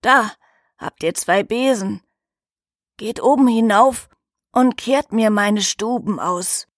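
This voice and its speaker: A woman playing an old woman, the ogre's wife, who warns and commands in measured phrases in a fairy-tale audio drama. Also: mid-range voice, dry recording, clean studio sound. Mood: stern instructing. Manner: slow, deliberate, measured phrasing.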